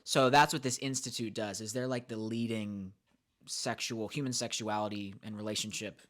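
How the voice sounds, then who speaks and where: A man in his thirties co-hosting a casual podcast, chatty and quick to laugh as he sums up an article. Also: fairly low pitch, steady tone, fairly bright tone. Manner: chatty, laughs often, reads out survey findings. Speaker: podcast co-host.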